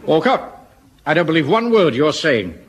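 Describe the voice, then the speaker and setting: A blustering, middle-aged English Home Guard captain in radio comedy. His pitch sits fairly high and swings widely with pompous indignation. The recording carries a little background noise. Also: sing-song, moderate pace. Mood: exasperated. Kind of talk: 1970s British radio sitcom acting.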